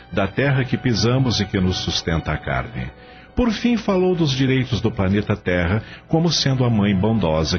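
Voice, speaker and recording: A man narrating a scripted radio novela in a dramatic storytelling style. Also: fairly low pitch. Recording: slightly dull radio-style audio. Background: music.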